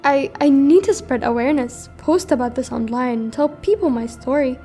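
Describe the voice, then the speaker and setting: A high, mellow girl's voice acted by a woman for a radio drama. She is a young refugee from war, burdened by guilt yet resolute, with some music underneath. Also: expressive, moderate pace. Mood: guilty, resolute. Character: teenage girl refugee fleeing war, guilt-ridden and resolute. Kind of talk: amateur radio drama acting.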